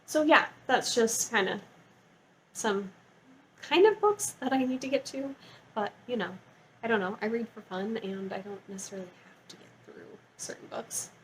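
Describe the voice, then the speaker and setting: A woman with a fairly high voice talks casually, as a book vlogger would to her viewers. Her delivery is informal and gushing, sprinkled with "like". Some faint noise sits behind her voice. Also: animated, moderate pace, low-quality recording. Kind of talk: casual chatty book review to camera.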